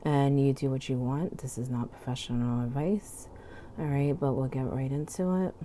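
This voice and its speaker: Woman tarot reader, very deep, mellow voice, stuffy and hoarse, chatty, faint hum behind. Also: normal speed. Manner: relaxed, chatty, frequent "okay?" and "you know". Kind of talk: casual tarot reading. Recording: faint background noise.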